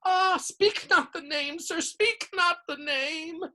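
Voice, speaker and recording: A woman reading a story aloud and voicing a small, earnest male house elf in a high-pitched voice, humble and over-polite, calling everyone sir. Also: expressive, moderate pace, fairly bright tone. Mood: wailing.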